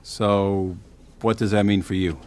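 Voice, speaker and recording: A man's voice: fairly low pitch, fairly even intonation, a little slow, darkish tone, natural voice. An actor playing a pushy, easily exasperated middle-aged father in an audio drama, with a blunt, needling manner. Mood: probing.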